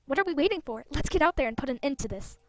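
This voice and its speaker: A woman's voice: high-pitched, expressive, racing. Earnest young heroine, read by a woman. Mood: urgent. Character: earnest, determined young female fantasy riders.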